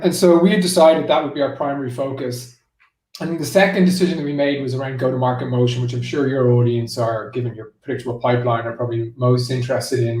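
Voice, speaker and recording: A man's voice: mid-range voice, darkish tone. Echoey, distant-sounding man explaining business. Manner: explanatory, peppered with "like" and "you know". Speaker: interview guest, marketing executive. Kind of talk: conversational business interview answers. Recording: roomy echo, distant from the mic.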